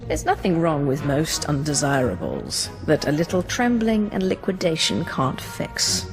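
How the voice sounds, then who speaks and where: Imperious game villain boss, dry and cruel, voice moves around a lot, loud music behind. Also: female voice, low-pitched, wildly swooping pitch, a little slow, heavily acted. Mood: darkly amused. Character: cool, commanding female Imperial master, coldly amused by cruelty. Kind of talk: video game character dialogue.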